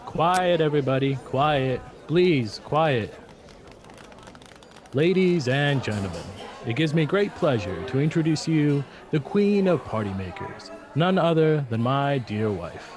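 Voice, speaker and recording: A man's voice: fairly high pitch, expressive, a little slow, over music. A man playing a fussy, devoted husband and party announcer in a radio drama, speaking formally and showily. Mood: pompous. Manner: formal, deferential, showy.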